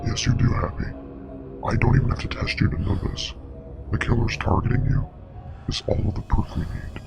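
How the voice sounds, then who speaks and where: A man playing a grave, knowing investigator and parasite expert in a scripted web horror drama, explaining in a measured, clinical way with a breathy, gravelly voice. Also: mid-range voice, steady tone, dull audio, close to an everyday voice. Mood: grave, explanatory. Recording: music playing behind.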